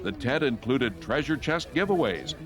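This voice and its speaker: A man's voice: medium pitch, sing-song, moderate pace, a crowd in the background. A man reading scripted newsreel narration as the narrator. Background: music.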